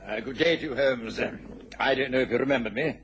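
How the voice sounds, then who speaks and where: An actor playing a worried, dignified British government official in a radio drama, formal and hesitant. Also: male voice, medium pitch, fast talker, fairly bright tone, natural voice. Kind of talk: mid-century radio drama acting. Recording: noisy old radio recording.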